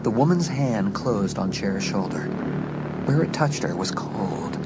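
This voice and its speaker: A man narrating a horror audiobook in a dramatic, suspenseful way with deliberate phrasing. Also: on the low side, big pitch swings, low-quality recording. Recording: loud music under the voice. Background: loud music.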